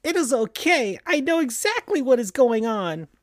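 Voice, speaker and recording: A woman's voice: sits high, very animated, normal speed, no echo, clean studio sound, voice pushed up. A woman voicing a meek young male cartoon animal sidekick with a glum, simple way of talking. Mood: reassuring.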